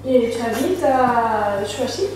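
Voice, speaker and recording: A woman's voice: a little high, animated, slow, a little mellow. A woman taking part in a music workshop, speaking lightly and a bit unsure. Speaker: workshop participant, saxophonist. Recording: echoey room, distant mic, background noise.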